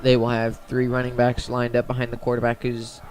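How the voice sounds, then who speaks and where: A man's voice: mid-range voice, completely flat delivery, fairly quick, a little mellow. A man giving radio-style play-by-play commentary on a football game.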